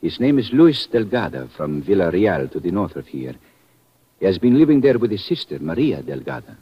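An actor playing a suave, watchful police lieutenant, formal and pointed, in an old radio drama. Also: male voice, deep voice, sing-song, brisk, breathy edge, heavily acted. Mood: cool, official. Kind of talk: 1950s radio drama acting. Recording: muffled old radio recording.

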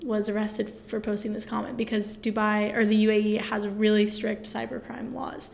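A woman's voice: mid-range voice, flat delivery, normal speed, gravelly, a little mellow, a little hiss or hum. A young woman co-hosting a podcast, retelling a news story in a chatty way full of fillers. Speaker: podcast co-host. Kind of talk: casual news chat.

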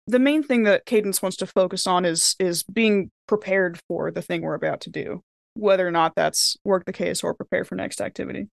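A woman's voice: fairly low pitch, moderate pace, gravelly, no room sound, studio quality. A woman playing a tabletop role-playing game, thinking aloud in casual speech as she plans what her character will do.

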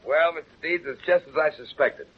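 An actor playing a blunt, sarcastic, slangy sidekick in 1930s radio acting style, on a muffled old broadcast. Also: male voice, on the high side, fairly quick, breathy edge. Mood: scornful. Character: cynical, blunt, slangy wisecracking sidekick man.

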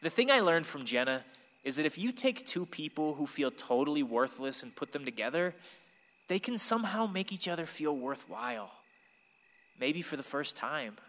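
A man's voice: a little high, very animated, normal speed, a bit bright. A man playing a wistful, awkward young man who confides his thoughts in a radio play. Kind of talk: radio play monologue and dialogue. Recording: reverberant hall sound.

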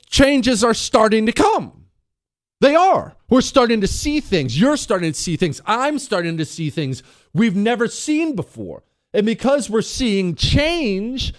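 A man's voice: high voice, no room sound, studio quality. An American man hosting political talk radio, delivering an opinionated monologue in an emphatic, dramatic manner, leaning on rhetorical questions and repetition. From United States. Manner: emphatic, dramatic, slowing down on key words.

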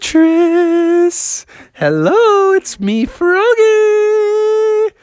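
Storyteller putting on an excitable high-pitched lovestruck frog man acting. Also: very slow, no echo, clean studio sound, heavily acted. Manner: exclaims, sings, swings between crooning and frustration. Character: excitable lovestruck young male frog, eager suitor. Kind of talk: improvised children's storytelling.

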